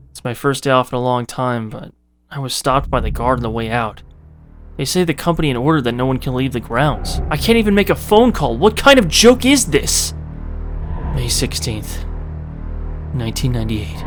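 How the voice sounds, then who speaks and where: A man's voice: mid-range voice, close to an everyday voice. A man giving a dramatic reading as an animal keeper writing a diary while he sickens in a horror game. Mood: agitated, distressed. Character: male animal keeper diarist, sickening into a feral zombie.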